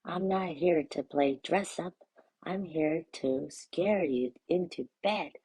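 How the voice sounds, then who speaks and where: Guy as a snooty snarly lady monster, husky, disdainful, kids book voice. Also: on the high side, slow, a little mellow, voice pushed up, heavily acted. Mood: disgusted, snarling. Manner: haughty, disdainful. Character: haughty snarling clawed female monster, easily offended.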